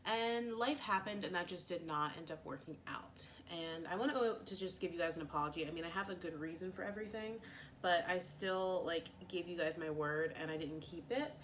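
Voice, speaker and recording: Woman, beauty vlogger, bright voice, a bit low, sniffly, chatty, faint hiss behind. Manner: chatty, lots of fillers and "like". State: a little sniffly. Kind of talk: casual chatty get-ready-with-me.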